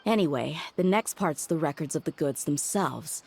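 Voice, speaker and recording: A woman voicing a tough young female mercenary in a game cutscene, steady and loyal, thoughtfully reasoning things out aloud. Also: low-pitched, moderate pace, creaky, husky, exaggerated voice.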